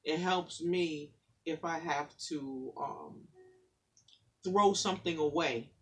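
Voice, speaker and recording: A woman poet who talks softly and haltingly in a faith-centered chat, then reads her own poem in a rhythmic, declamatory spoken word style. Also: low voice, husky. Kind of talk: spoken word poetry reading in a casual faith conversation.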